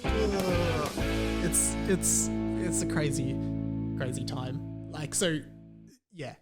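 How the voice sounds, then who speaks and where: A man's voice: on the high side, extremely slow, over loud music. An Australian man hosting a podcast, talking casually on his own in a rambling, opinionated, sweary way. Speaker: podcast host. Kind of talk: casual solo podcast chat.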